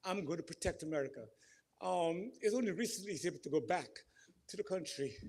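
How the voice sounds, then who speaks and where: A man from Guyana with a Guyanese accent, giving an emotional, halting speech at a community reception. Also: high voice, animated, a little mellow. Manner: emotional, halting, repeats and corrects himself. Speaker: event speaker. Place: community center hall.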